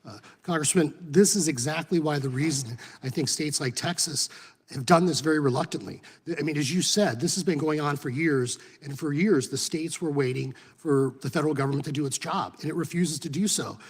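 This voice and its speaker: A man whose first language was Serbo-Croatian, testifying with firm emphasis. His voice is husky and sits a little high. He is heard in a large hearing room with a long reverb. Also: a little fast. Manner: emphatic, argumentative. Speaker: witness at a congressional hearing. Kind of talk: formal testimony.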